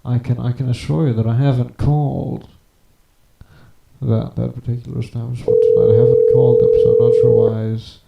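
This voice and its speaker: A man rambling on a live stream, stammering and halting, with wordy, formal phrasing. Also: medium pitch, level tone, creaky, reverberant, muffled recording, background noise. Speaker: prank call stream host.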